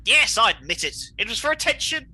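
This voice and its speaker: A man's voice: very high-pitched, sing-song, fairly quick, bright, forceful tone, husky, full character voice. A man playing a flamboyant, egotistical villain in a comedy radio play, pompous, petulant and melodramatic. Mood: gleefully defiant.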